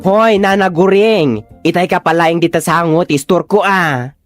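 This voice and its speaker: A woman's voice: fairly low pitch, moderate pace, soft background music, lightly acted. A woman playing an irritated store owner who scolds and shoos loiterers, brusque and exasperated, in a comedy radio drama. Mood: exasperated scolding.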